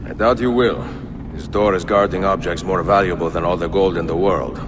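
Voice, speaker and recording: An actor playing a calm assassin in a video game, speaking in a terse, authoritative way. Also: male voice, low-pitched, a little fast, background noise, natural voice. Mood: stern, probing. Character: calm, commanding assassin questioning a labourer. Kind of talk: video game cinematic acting.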